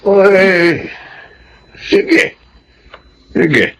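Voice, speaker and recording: Animated, kindly man. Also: sits high, extremely slow, heavily acted. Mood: sympathetic. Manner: questioning, emphatic. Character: concerned, decisive, kindly married man. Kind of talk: Filipino radio melodrama acting.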